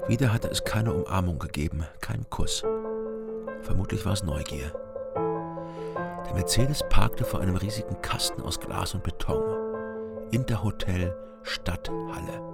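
An actor playing a brooding surveillance agent who narrates a radio crime drama in a measured, sober, observational way. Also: male voice, sits low, gravelly. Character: brooding East German surveillance agent narrating his investigation. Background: music.

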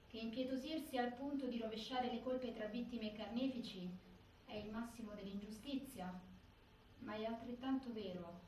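An actress playing a resolute, grieving sister, delivering a measured, articulate stage monologue. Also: female voice, mid-range voice, dark tone, lightly acted. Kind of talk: scripted theatrical monologue. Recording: very echoey, distant, faint noise.

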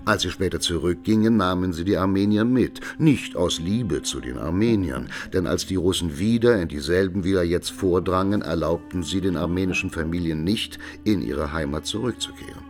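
Casting: scholarly male expert in the witness box, deep voice, deliberate and formal radio play lecturing, music clearly behind. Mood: sober, lecturing. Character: learned male expert witness giving sober testimony. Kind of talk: radio drama courtroom statement.

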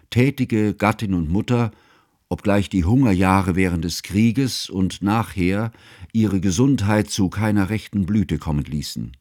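A man playing a learned, devoted friend who narrates a radio drama in formal, ornate, measured literary style. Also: fairly low pitch, a little mellow, no room sound, clean studio sound. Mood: sober, measured.

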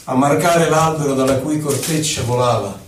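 A man gives a solemn, theatrical poetry recital, declaiming in short phrases with pauses between them. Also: a little high. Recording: echoey, distant, noisy live radio broadcast.

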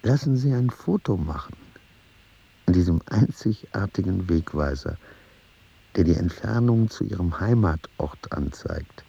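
A man narrating in a radio play, reading texts out in a deliberate, husky voice. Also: low voice, lively intonation, mellow, highs cut off, heavily acted.

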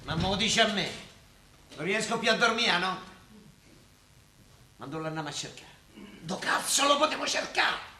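A man's voice: high voice, animated, normal speed, long pauses, pushed and bright, full character voice. A man acting in a Roman-dialect radio play as a weary, blunt working-class father. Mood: exasperated. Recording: roomy stage sound, faint noise.

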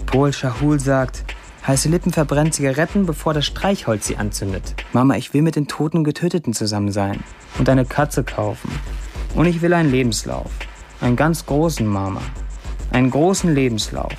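A man's voice: medium pitch, moderate pace, darkish tone, lightly acted. Man playing a brash, candid young writer over faint music. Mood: candid, intimate. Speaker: lead actor reading a writer's status posts. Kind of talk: first-person literary monologue in radio drama.

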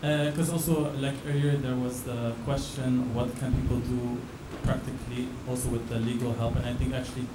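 A man's voice: mid-range voice, little pitch movement, moderate pace, hardly any pauses. A man on a panel giving practical, matter-of-fact advice. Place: event venue. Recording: echoey hall with background noise.

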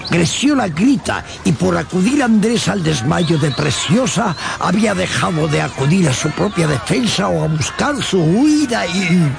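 A man's voice: high-pitched, very animated, a little slow, gravelly, few pauses, music in the background. A man narrating a dramatized literary tale for radio in a theatrical, emphatic storytelling manner.

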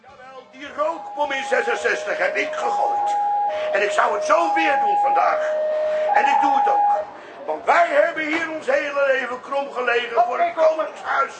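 Grumpy old café guy, pompous rebel, really high creaky voice, radio play. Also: wildly swooping pitch, normal speed, over loud music, full character voice, rough recording. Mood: defiant. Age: older. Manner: pompous, theatrical ranting. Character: indignant old café-owner grandfather, self-righteous anti-monarchist rebel. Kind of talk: radio comedy drama acting.

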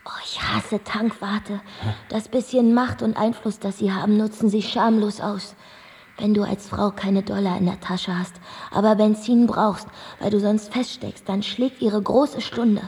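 Actress as a creaky-voiced, sardonic bar singer. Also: female voice, mid-range voice, steady tone, a little slow, a little mellow, big-room sound, natural voice. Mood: bitter. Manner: flippant, blunt, dry. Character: brash, worldly-wise, sardonic female bar singer. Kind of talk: German radio drama acting.

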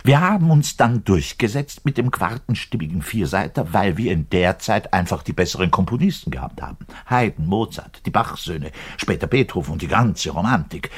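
An actor playing a self-important, frustrated orchestral double bass player in a radio monologue, pedantic, rambling and emphatic, with a husky voice. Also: male voice. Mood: proud, lecturing. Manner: pedantic, rambling, emphatic, shifting to muttered asides. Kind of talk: theatrical monologue addressed to a listener.

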